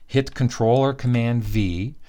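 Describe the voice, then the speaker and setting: A man giving a relaxed screen-recorded software tutorial, chatty and correcting his own slips as he goes. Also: medium pitch, measured pace. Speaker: software instructor.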